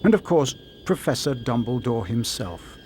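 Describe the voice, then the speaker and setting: A man as a storybook narrator in a fantasy game. He tells the tale expressively, with a lively range and clear noise behind his voice. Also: mid-range voice, moderate pace, natural voice.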